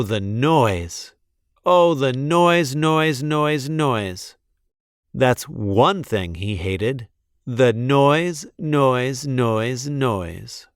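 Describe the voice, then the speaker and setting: A man reading a children's story aloud with dramatic, deliberate, theatrical emphasis. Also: mid-range voice, dry recording, studio quality. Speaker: storybook reader. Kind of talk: expressive children's read-aloud narration.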